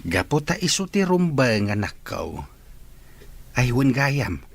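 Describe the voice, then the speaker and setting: A man acting a fond, gentle father with a husky voice in a radio drama. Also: mid-range voice, sing-song, takes it slowly, heavily acted. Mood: tender.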